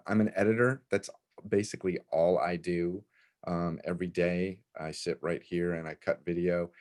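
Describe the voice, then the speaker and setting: A man's voice: sits low, darkish tone. An American man in his fifties introducing himself in blunt, casual talk on a video call. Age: 50s. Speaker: panelist, video editor. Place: indoor home office, on a video call.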